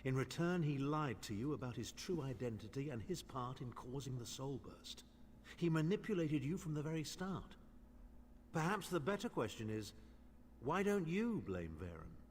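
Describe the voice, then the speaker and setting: A man's voice: mid-range voice, big pitch swings, normal speed, slight background noise, close to an everyday voice. Guy voicing a snooty old imperial mage, sarcastic, game dialogue, echoey reverb. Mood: condescending. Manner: condescending, dryly sardonic. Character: haughty older male imperial noble mage.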